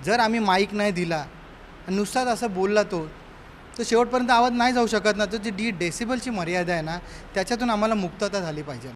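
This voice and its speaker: A man's voice: high-pitched, a little fast. A man explaining things in an interview as a sound operator. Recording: faint traffic noise.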